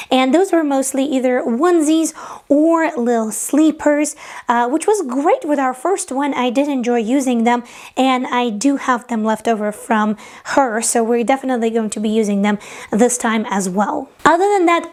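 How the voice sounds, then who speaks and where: High-voiced young woman from Latvia. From Latvia, Eastern Europe. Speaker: sewing vlogger. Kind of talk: casual personal vlog.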